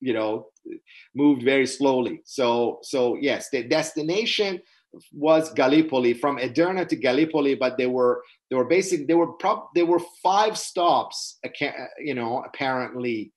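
A middle-aged man whose first language is Persian, giving an unscripted webinar lecture in a halting, earnest way with many restarts, recorded on a video call from a small room. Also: medium pitch, husky. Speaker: webinar presenter. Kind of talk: unscripted lecture answering questions. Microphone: webcam on a video call.

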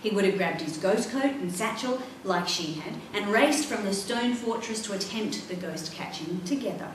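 A woman's voice: medium pitch, normal speed. Echoey middle-aged woman reading a story. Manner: expressive, dramatic storytelling. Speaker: author reading own book. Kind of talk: storytime reading of a children's adventure novel. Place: indoor library room, seated at a table. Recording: echoey room, distant mic, faint noise.

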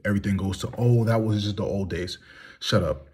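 Man, 30s, very low husky voice, heated and blunt, phone selfie monologue from a small room. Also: fairly quick, darkish tone. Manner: heated, blunt, rhetorical questions. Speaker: vlogger. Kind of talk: candid monologue to phone camera. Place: small room indoors, reclining. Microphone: phone at arm's length.

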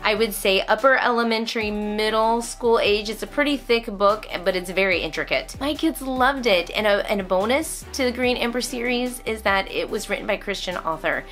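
Middle-aged American woman, vlogger type, medium pitch, casual and emphatic delivery, music underneath. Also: moderate pace, hardly any pauses, fairly bright tone. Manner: emphatic, repeats phrases for stress. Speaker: homeschooling vlogger reviewing books. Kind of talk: casual chat to camera. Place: indoors, small room at home.

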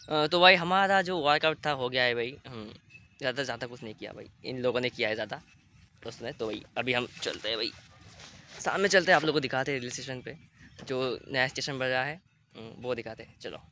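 A man's voice: mid-range voice, brisk, sharp tone, slight background noise. A teenage boy in casual vlog chat, chatty and informal, filming himself on his phone at arm's length outdoors. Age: teens. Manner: chatty, keeps calling viewers brother. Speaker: vlogger. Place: outdoors on a field, then at home. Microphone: phone selfie camera at arm's length.

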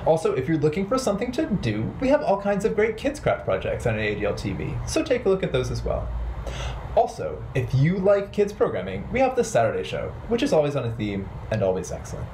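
A man's voice: fairly high pitch, very animated, fairly quick, a little mellow, slight background noise. A man in his thirties hosting a children's storytime, talking playfully and directly to young kids. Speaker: children's storytime host at a library. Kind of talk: children's storytime with songs and rhymes. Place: small bright living room, indoors. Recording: roomy, echoing indoor sound. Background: ukulele music at times.

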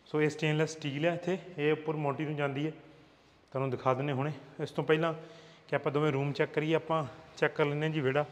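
A middle-aged Punjabi man walks through a bare new house, describing it in a steady, explanatory way. His voice sits a bit high and stays level in pitch. Echo from the empty rooms lingers around his lapel-mic sound. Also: fast talker. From Punjab, India. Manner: steady, explanatory, mixes English words into his speech. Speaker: real estate agent giving a house tour. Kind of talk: walking property tour commentary.

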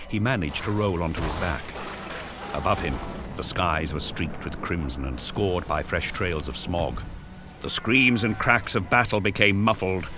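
A man narrating an audio drama in an intense, solemn, theatrical storytelling style. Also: low voice. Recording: loud music and battle effects behind. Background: loud music, battle sound effects.

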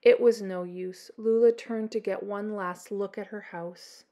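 A middle-aged woman reading a children's story aloud in an expressive storytelling style. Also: mid-range voice, unhurried, lo-fi sound. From Canada.

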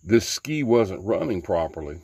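A man's voice: sits low, animated, unhurried, a little mellow, husky, dry recording. A man, casually walking through a jet ski repair outdoors, folksy and rambling with many fillers. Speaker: DIY mechanic. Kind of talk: casual how-to walkthrough.

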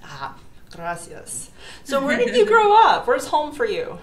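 Lively woman podcast host. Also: high voice, a little slow. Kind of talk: podcast intro and interview.

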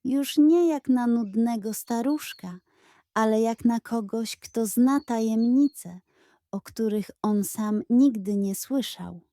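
Unhurried, mellow woman narrating a children's story. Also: fairly high pitch, dry recording, clean studio sound. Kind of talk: scripted bedtime story narration.

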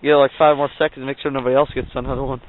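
A man's voice: medium pitch, flat delivery, rapid-fire, slight background noise. A young man from Ohio, vlogging an ice fishing trip and giving gear tips in an upbeat, rambling way, recorded on a handheld camera. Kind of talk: casual outdoor vlog and gear tips. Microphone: handheld camera mic. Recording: lo-fi phone-like camera audio.

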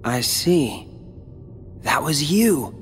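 A man's voice: fairly high pitch, animated, drawn-out words, long reverb tail, slight background noise. A young American man playing a brooding, stubborn teenage boy warrior in a video game cutscene, with a terse delivery. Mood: guarded, resolute.

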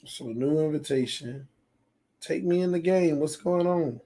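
A man hosting a casual livestream from his room at home while setting up a game, talking quietly because he is losing his voice. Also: on the high side, unhurried. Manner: talks quietly to spare his voice, reads chat aloud. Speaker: sports channel host. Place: small home room full of fan decor. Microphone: desk mic on stand.

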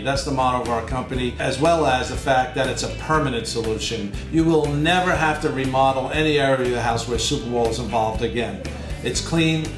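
A man in his fifties giving a promotional talk as a company representative. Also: mid-range voice. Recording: echoey room with music behind. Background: plucked guitar music.

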